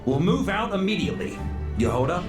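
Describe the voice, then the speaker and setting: A man's voice: sits high, very animated, a little fast. An actor voicing a confident male military commander who gives decisive orders. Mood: commanding. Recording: game audio with reverb over music.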